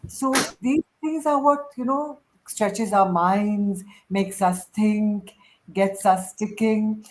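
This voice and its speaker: A woman's voice: mid-range voice, slow pace, a little mellow, slight background noise, poor audio. A woman hosting a casual group discussion in an effusive, encouraging way. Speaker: host of a group chat. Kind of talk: moderating a casual panel discussion.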